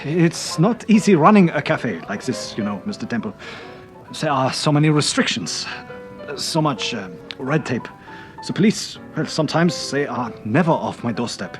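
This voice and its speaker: Actor as nervous, hesitant young cafe proprietor. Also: male voice, mid-range voice, big pitch swings, soft background music, lightly acted. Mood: anxious, apologetic. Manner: hesitant, apologetic, halting. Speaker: actor in radio drama. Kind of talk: British radio serial acting.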